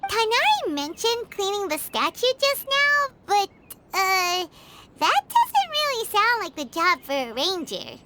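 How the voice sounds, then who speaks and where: A woman voicing a tiny childlike flying fairy companion in a video game, squeaky, chatty and inquisitive. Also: huge pitch swings, a little slow, heavy background noise, exaggerated voice. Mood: curious, doubtful. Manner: chatty, inquisitive, refers to self by name. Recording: music behind the voice.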